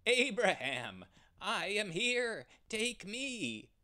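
A man's voice: a little high, lively intonation, fairly bright tone, pitched above the performer's own voice. A man voicing a brave, determined male ram who speaks earnestly and urgently. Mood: pleading. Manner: earnest, urgent, slow and emphatic.

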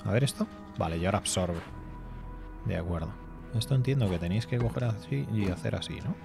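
A man's voice: fairly low pitch, lively intonation, fairly quick, gravelly. A man in his thirties from Spain, chatting loosely and swearing casually while he plays a game on stream, heard through a headset mic at home. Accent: Spanish from Spain. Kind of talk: casual gameplay commentary. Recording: faint game music behind.

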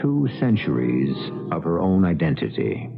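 A man's voice: low-pitched, wildly swooping pitch, gravelly, breathy edge. A man hosting and narrating a radio mystery, dramatic and portentous. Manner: portentous, measured. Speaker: host and narrator. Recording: dull 1970s radio, loud music behind.

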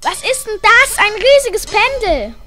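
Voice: very high-pitched, very animated, a little slow, pushed and bright, soft background music, exaggerated voice. A young performer playing a brave, watchful space traveller in an amateur children's audio drama. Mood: excited.

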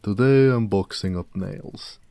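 Orc blacksmith, male actor: big gentle male, low and dark, very unhurried, humble and fond, intimate audio drama. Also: creaky, no echo. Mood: proud, playful. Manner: unhurried, soft-spoken, musing, with small chuckles and old-fashioned phrasing.

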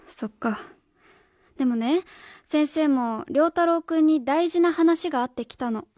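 A woman's voice: high voice, a little slow, a little mellow, no room sound, studio quality. A woman playing a stern, earnest young schoolteacher in a radio drama, formal, polite and probing. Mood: gently probing. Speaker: voice actor.

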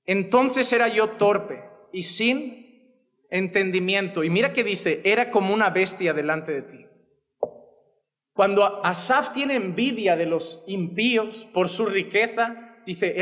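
A man's voice: high voice, animated, measured pace, a bit bright, long reverb tail. A man in his thirties with a Spanish accent from Spain, preaching a sermon from a pulpit with passion. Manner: impassioned preaching with wide arm gestures. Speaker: pastor. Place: church stage behind a wooden pulpit. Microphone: pulpit gooseneck mic.

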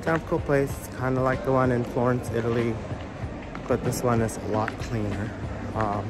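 A man filming a casual travel vlog on a phone held at arm's length, chatting in a relaxed way with lots of fillers. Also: mid-range voice, normal speed, music in the background, low-quality recording. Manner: chatty, filler-heavy, playful. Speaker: travel vlogger. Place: outdoors in a busy city and a market hall. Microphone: phone camera at arm's length.

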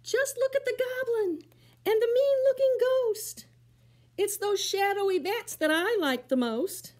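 A woman's voice: extremely high pitch, unhurried, a little mellow, pitched above the performer's own voice. A woman voicing a group of mischievous young monkeys that squeal, cheer and wail. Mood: eager.

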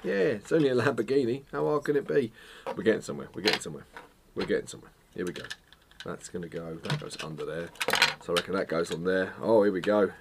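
A man, chatting casually to camera as a photography vlogger, self-mocking and full of jokey asides, a little hoarse from a cold. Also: sits low, lively intonation, fast talker, noisy background. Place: home studio room, indoors.

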